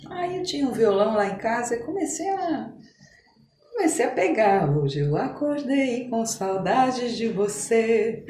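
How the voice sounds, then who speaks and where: A mature Brazilian woman chatting as a podcast guest, telling stories in an animated but halting way that circles back on phrases. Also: on the high side. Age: middle-aged or older. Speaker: podcast guest, doctor and amateur musician. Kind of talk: casual conversational storytelling in an interview. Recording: echoey room with some background noise.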